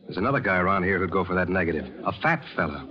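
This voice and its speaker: A man's voice: deep voice, animated, rapid-fire. An actor playing a shrewd, sardonic American newspaperman with casual slangy speech, in 1940s radio drama style. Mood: wry, shrewd. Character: wry, shrewd, hard-boiled American newspaperman. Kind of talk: 1940s radio drama acting and first-person narration. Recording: dull vintage radio recording with music.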